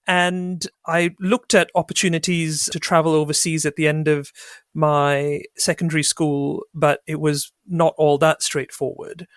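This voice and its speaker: A man's voice: on the high side, flat delivery, measured pace, fairly bright tone, dry recording. Man, from Sri Lanka, middle-aged, articulate, wry, dry recording. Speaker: guest, barrister and arbitrator. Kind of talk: podcast interview, reflective storytelling.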